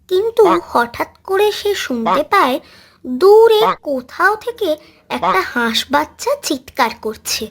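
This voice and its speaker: A woman's voice: high-pitched, a bit bright. A woman reading scripted storybook narration for a cartoon. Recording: music behind the voice.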